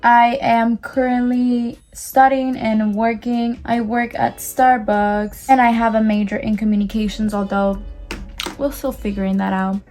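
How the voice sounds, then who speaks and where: A woman's voice: on the high side, fairly even intonation, measured pace, darkish tone, soft background music. A woman in her early twenties from Cuba, a native Spanish speaker, chatting casually in English as she does her makeup, rambling with fillers and restarts. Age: early 20s. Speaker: beauty vlogger. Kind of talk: casual get-ready-with-me chat.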